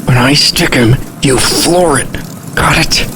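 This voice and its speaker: An actor playing an earnest, jittery young failed musician, stammering and self-deprecating. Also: male voice, mid-range voice, takes it slowly, close to an everyday voice. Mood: tense, urgent. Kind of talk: naturalistic audio drama dialogue. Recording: noisy vehicle interior with engine.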